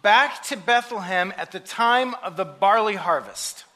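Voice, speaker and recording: A man preaching a sermon in short phrases, pausing so an interpreter can translate. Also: sits high, lively intonation, pushed and bright. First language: English. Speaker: pastor. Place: church sanctuary.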